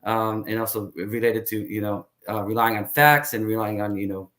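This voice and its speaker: Hesitant man with low voice. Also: fairly even intonation, moderate pace, fairly bright tone. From Israel. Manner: hesitant, many fillers. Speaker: guest, tour guide. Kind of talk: casual interview talk.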